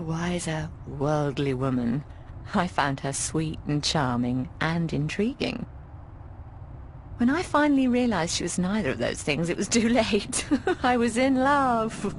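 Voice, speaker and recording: A woman's voice: low-pitched, a little hiss or hum. An actress playing an affectionate, frank, middle-aged British boarding-house landlady in a video game. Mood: happy, candid. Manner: affectionate, frank, cheeky, often calls people darling.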